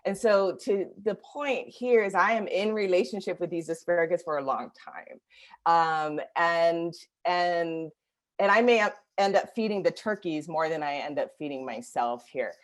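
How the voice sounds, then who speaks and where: A woman with a low voice co-presents in an online webinar. She talks over a video call in a reflective, halting way, with plenty of fillers as she works through her thoughts. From Washington, D.C. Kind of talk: webinar discussion.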